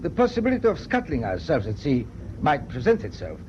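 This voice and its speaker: An actor playing a stern, proud German naval captain in a radio drama, speaking in a clipped, formal, authoritative way. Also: male voice, medium pitch, huge pitch swings, fairly quick, a little mellow. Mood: grave, resolute. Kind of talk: BBC radio drama acting. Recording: dull, noisy old radio broadcast tape.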